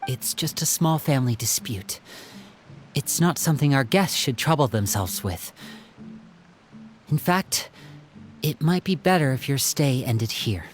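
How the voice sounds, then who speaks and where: A man's voice: mid-range voice, lively intonation, a little slow, long pauses, soft background music, lightly acted. A man playing an earnest, protective young older brother in a dramatic game scene, articulate and courteous. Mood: guarded, polite.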